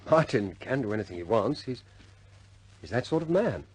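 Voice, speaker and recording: Loyal RAF-style officer, husky, lively intonation, understated and deferential, for a vintage radio war drama. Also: male voice, medium pitch, moderate pace. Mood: respectful. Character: loyal, respectful male air force officer, frankly understated. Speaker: squadron pilot reporting to his superior.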